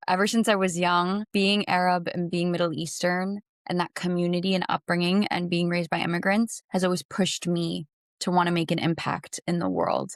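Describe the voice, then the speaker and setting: Lower-voiced American woman as podcast guest. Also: no room sound, studio quality. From United States. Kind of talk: conversational interview answers.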